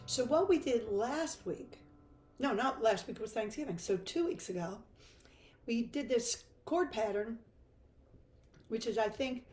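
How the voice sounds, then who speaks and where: An older woman with a hoarse, froggy voice, teaching a chatty guitar lesson live from her home music room. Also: a little high, a little mellow, reverberant. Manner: chatty, self-deprecating, laughs easily. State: hoarse from laryngitis.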